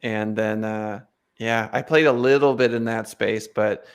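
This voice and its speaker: A man's voice: lowish voice, fairly even intonation, unhurried, clean tone. A male podcast host chatting with a guest in an enthusiastic, encouraging way, with many fillers. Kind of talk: casual interview chat.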